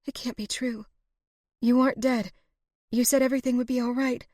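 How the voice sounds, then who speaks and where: A woman's voice: fairly high pitch, steady tone, normal speed, a little mellow, no echo, clean studio sound, voice pushed up, lightly acted. A woman voicing an anguished, devoted woman in love in an audiobook, pleading with raw emotion. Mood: grief-stricken.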